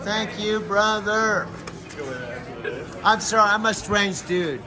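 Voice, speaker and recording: A man in his sixties speaking loudly and playfully, joking about himself in a restaurant. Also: high voice, steady tone, slow. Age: 60s. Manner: loud, playful, self-deprecating.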